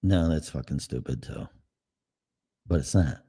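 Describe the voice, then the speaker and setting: A man's voice: a bass voice, a little slow, gravelly, mellow, breathy edge. A man with a Chicago accent hosting a solo podcast, ranting in a blunt, sarcastic and profane way. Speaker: podcast host.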